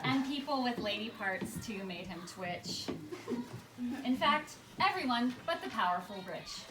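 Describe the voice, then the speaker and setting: A woman reading rhymed, scripted narration to a live audience as the presenter of a puppet show, in a storybook manner with deliberate pauses. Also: on the high side, animated. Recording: distant mic, echoey room, noisy live recording.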